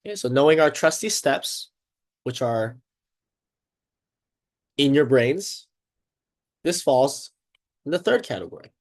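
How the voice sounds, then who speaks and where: A man's voice: mid-range voice, lively intonation, slow, pauses often. Congested man teaching a casual math lesson. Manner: upbeat, chatty, checks in often with "okay" and "right?". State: congested, sniffling.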